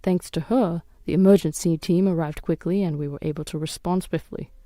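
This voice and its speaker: A woman voicing a calm, kindly doctor in a story narration, explaining in a measured, approving way. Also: on the low side, no room sound, studio quality, close to an everyday voice.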